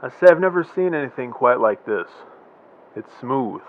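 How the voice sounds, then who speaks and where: A man doing a level-headed radio-play scientist, speaking in a calm, considered way at a middle pitch. The sound is dull and lo-fi. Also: normal speed, close to an everyday voice. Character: measured male scientist on the scene. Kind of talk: amateur radio drama acting.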